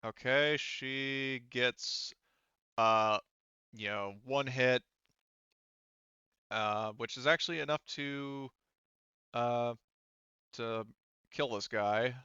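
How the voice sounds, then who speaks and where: A man narrating a tabletop game as game master, casually and haltingly, with some swearing. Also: mid-range voice, sharp tone, clear, resonant tone, no echo, lo-fi sound. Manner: halting, profane.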